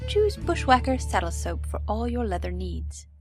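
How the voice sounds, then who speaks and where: An actress playing an earnest pitchwoman, reading an old-time radio commercial in a polished, salesy way. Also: big pitch swings, normal speed, natural voice. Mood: smooth, promotional. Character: earnest pitchwoman for a mock cowboy product. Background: music bed.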